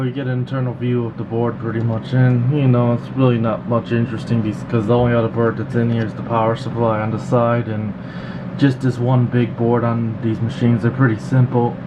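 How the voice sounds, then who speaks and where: A man, a repair technician, giving a casual, plain-spoken demonstration in an informal explaining way. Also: medium pitch. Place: indoor electronics workshop. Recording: slightly dull, noisy hum of room. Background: steady background noise.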